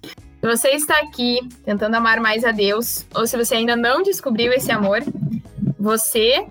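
A talkative 19-year-old woman from the far south of Brazil, her gaúcho accent plain as she hosts a relaxed podcast chat. Her voice sits a little high and carries loudly. Faint music plays underneath. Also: moderate pace. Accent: southern Brazilian gaúcho Portuguese. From Rio Grande do Sul, Brazil. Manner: loud, talkative. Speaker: podcast host.

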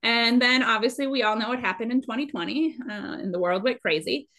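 A woman in her late thirties talks in a loose, conversational way at an average pitch. She comes across as animated and chatty, like a guest swapping stories. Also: poor audio. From Minnesota, United States. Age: late 30s. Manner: chatty, animated, quick to laugh. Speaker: podcast guest, amateur trail runner. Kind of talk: casual conversational storytelling.

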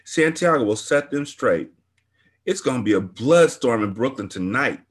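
A man voicing a young woman from the neighborhood who rambles on about a shooting, worked up and excited. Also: mid-range voice, normal speed, slightly rough, pitched above the performer's own voice.

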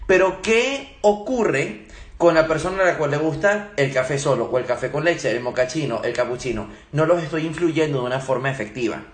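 A man speaking as a motivational coach in a live Q&A, emphatic and instructive, repeating key phrases. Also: fairly high pitch. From Venezuela, born in Germany. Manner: emphatic, didactic, repeats key phrases, rhetorical tag questions. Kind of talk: motivational talk, answering audience questions live. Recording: roomy, echoey livestream sound.

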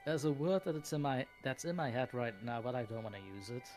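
A man's voice: mid-range voice, fast talker, darkish tone, faint music behind. A native Dutch-speaking man with a Flemish accent, chatting casually and wryly on a livestream. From Flanders, Belgium.

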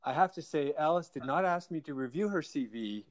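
A man's voice: fairly high pitch, lively intonation, fairly quick. A man hosting a podcast interview, rambling with lots of restarts and laughing at his own jokes. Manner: rambling, self-deprecating, laughs often. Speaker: podcast host. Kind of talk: casual remote interview.

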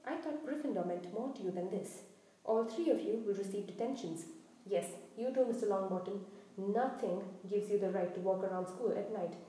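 A woman's voice: a little high, mellow, close to an everyday voice. A woman voicing a strict, indignant older female professor in a storybook, scolding with authority. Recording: very echoey room, distant mic.

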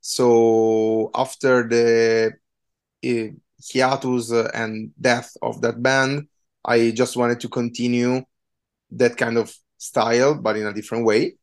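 A man's voice: medium pitch, flat delivery, slow pace. A man with an Italian accent, in his thirties, a punk musician answering interview questions in a hesitant, casual way with many fillers. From Italy.

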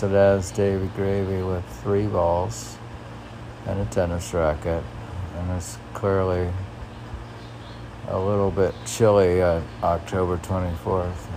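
Slow, gravelly low-voiced man. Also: noisy background. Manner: musing, halting, chuckling at his own remarks. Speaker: poet reading his own work. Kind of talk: poetry reading with rambling casual asides.

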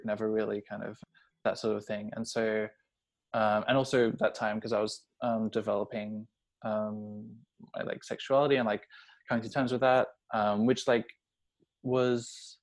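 A young adult man talking casually and personally, hesitant and rambling, leaning heavily on filler words. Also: fairly low pitch. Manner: hesitant, rambling, heavy use of "like".

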